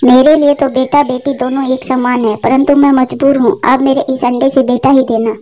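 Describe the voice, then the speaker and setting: A woman playing a gentle, earnest young mother bird in a Hindi cartoon. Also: sits high, little pitch movement, moderate pace, close to an everyday voice. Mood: distressed.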